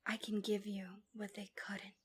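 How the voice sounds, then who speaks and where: A woman playing a manipulative villainess in an ASMR roleplay, tenderly soothing her captive in a gentle, coaxing, breathy voice that slips into whispers. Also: mid-range voice, completely flat delivery, moderate pace, lightly acted. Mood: seductive, possessive devotion. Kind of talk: ASMR roleplay, intimate soft speech slipping into whispers.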